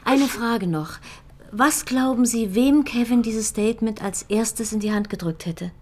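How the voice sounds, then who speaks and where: An actress playing a firm police detective who questions people directly and probingly in a screen drama. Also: female voice, on the high side, measured pace. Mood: calm, reassuring. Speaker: police investigator. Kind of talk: dramatic film dialogue.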